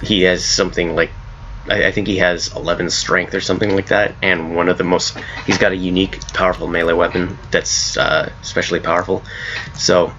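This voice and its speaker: A hoarse man casually talking through his own game mod as he plays, rambling and correcting himself as he goes. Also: low-pitched, fairly bright tone, highs cut off, slight background noise. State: hoarse from a cold. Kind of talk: casual gameplay commentary.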